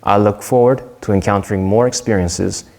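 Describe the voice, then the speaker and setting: A young man from Korea giving a prepared Dharma talk in a measured, earnest way, with a few small restarts. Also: lowish voice, fairly even intonation, creaky, darkish tone.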